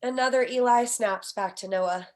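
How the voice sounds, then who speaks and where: A woman's voice: a little high, moderate pace. A woman reading the scene headings and action lines of a screenplay as the narrator at a table read. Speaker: stage directions narrator.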